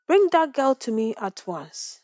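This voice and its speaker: A proud village chief from an animated folktale , acted out by a woman. He is commanding and scornful, thundering his anger at those beneath him. The sound is close and dry. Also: high-pitched, unhurried, no echo, pitched above the performer's own voice. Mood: furious.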